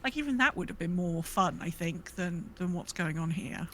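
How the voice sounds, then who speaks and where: A woman's voice: lowish voice, expressive, moderate pace, fairly bright tone, slight background noise. A woman co-hosting a podcast in casual gaming chat, thinking aloud in a hesitant, rambling way. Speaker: podcast co-host.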